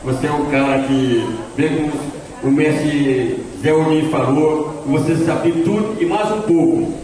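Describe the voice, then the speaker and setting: A man's voice: a little high, a little slow, darkish tone. A man, president of a cultural association, giving a rambling, grateful thank-you speech at an event. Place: cultural center hall. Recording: echoey hall, distant mic, crowd.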